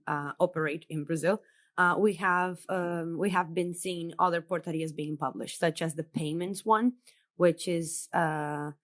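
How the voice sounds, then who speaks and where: A woman who is a native Portuguese speaker, a journalist guest, explaining in a chatty way with many fillers on a remote podcast call. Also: fairly low pitch. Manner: chatty, filler-heavy, laughs between points. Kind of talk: casual podcast interview. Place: home in Brazil, remote call.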